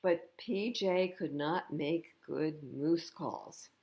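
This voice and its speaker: A woman's voice: low-pitched, very slow, darkish tone. A woman reading a picture book aloud to young children, expressively, with explanatory asides about the pictures. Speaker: children's storytime reader.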